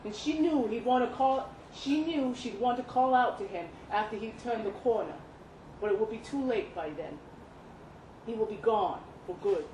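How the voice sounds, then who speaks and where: A woman reading a literary short story aloud at a live event, with expressive, deliberate phrasing and dramatic pauses. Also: on the high side. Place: indoor live reading event at a pub venue. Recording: roomy live venue, some background noise.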